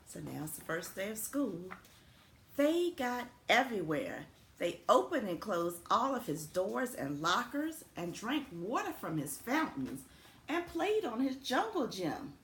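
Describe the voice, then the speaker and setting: A woman, an elementary school principal, reading a children's story aloud in a deliberate, expressive way, with simple friendly asides for young listeners. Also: medium pitch. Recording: roomy echo with faint background noise.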